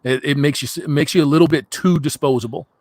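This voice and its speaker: A blunt, profane middle-aged man hosting a call-in podcast, his voice a touch higher than most. He laughs easily as he talks. The sound is dry and close, with no room around it. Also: fairly even intonation, quick pace, a little mellow, dry recording. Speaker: podcast host. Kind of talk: casual call-in chat.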